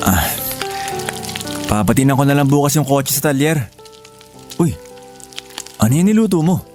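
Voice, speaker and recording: An actor playing a proud, supportive husband and father in a Filipino radio drama, gentle and affectionate. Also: medium pitch, expressive, moderate pace, music in the background, lightly acted. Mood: casual.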